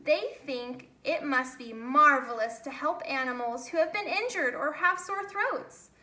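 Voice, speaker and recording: Woman voicing a confident, bright-voiced storybook bear. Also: high voice, lively intonation, a little slow, heavily acted. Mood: encouraging. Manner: reassuring, instructive. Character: kindly, confident male dancing bear, encouraging friend. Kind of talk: children's storybook character reading. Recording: roomy, echoey home recording.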